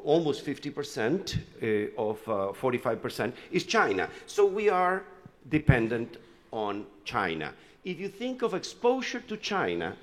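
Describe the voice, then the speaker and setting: A man from Chile talks through a conference panel presentation in a loose, conversational way, stopping often for fillers and restarts. His voice sits in a middle register. It carries a long reverb from a large hall. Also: mid-range voice. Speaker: panel speaker, economist. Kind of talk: conference panel talk with slides.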